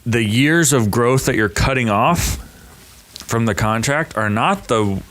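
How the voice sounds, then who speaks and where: A man's voice: medium pitch, a little slow, a bit bright. A man co-hosting a podcast, explaining ideas as he thinks them through, often restarting his phrases. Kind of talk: casual podcast conversation.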